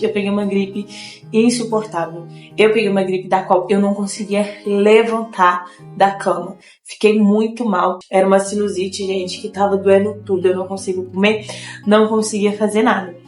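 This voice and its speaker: A woman's voice: mid-range voice, a little mellow. A young woman from Brazil chatting casually to her viewers, a little nasal from a cold and often repeating herself. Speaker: vlogger. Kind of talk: casual chat to camera. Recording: echoey room with faint guitar music.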